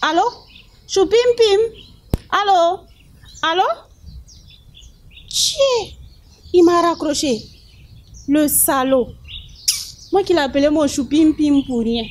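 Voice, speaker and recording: A man's voice: slow pace, pauses often, a little hiss or hum. A man voicing a whiny young woman who begs her boyfriend for a phone. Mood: outraged. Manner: shrill, indignant, sulky.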